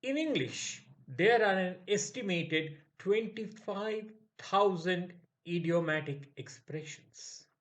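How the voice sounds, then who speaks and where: A man narrating a scripted English idioms lesson in a slow, measured way. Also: high voice. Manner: slow, measured, lively intonation. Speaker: English teacher.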